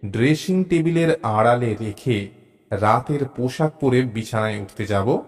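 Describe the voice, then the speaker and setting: A male storyteller narrates a suspense tale in the first person. His mid-pitched voice moves slowly and rises and falls widely for drama, with soft music underneath. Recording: music behind the voice.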